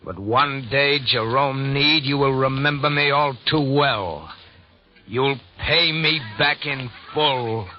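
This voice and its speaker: An actor in an old radio drama playing a menacing stranger with a grudge, speaking slowly and ominously. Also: male voice, medium pitch, faint music behind, close to an everyday voice. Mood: ominous threat. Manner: slow, deliberate, ominous. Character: menacing male stranger with a grudge, coldly forecasting doom. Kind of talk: 1940s radio acting. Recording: dull, lo-fi old radio recording.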